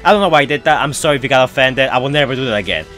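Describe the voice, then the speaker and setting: A man's voice: medium pitch, racing, fairly bright tone, faint music behind. A young man with a foreign-sounding accent, rambling casually through fan questions on his channel. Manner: rambling, chatty, frequent fillers. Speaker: YouTuber answering fan questions. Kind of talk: casual Q&A chat.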